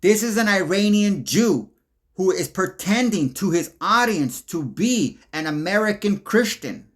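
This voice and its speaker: A man giving a rambling commentary over video clips, with a somewhat high voice. He is profane and aggressive and keeps jumping between thoughts. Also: fairly bright tone. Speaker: commentary host.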